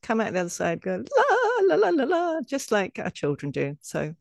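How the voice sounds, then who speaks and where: A woman's voice: on the high side, wildly swooping pitch, moderate pace, gravelly, darkish tone, no echo. A woman co-hosting a parenting podcast, chatting cheerfully and laughing often. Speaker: podcast co-host. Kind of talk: casual chat.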